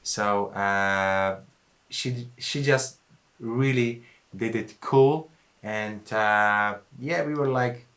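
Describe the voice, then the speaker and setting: Man, music producer, bright forceful voice, slightly low pitch, casual rambling studio chat. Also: drawn-out words. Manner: loose, peppered with "you know".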